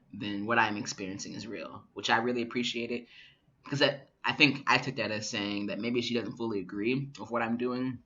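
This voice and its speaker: A young man in his twenties telling a personal story to the camera in a relaxed, reflective way with many fillers. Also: on the low side. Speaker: vlogger. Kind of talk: casual personal vlog storytelling. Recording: dull lo-fi camera audio.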